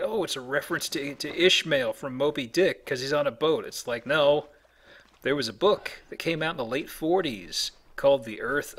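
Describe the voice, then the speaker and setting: A man's voice: medium pitch, fairly bright tone. A man hosting a let's play with casual, explanatory game commentary and a joking streak. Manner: explanatory, jokey, voices paraphrased quotes. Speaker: let's play host and guide.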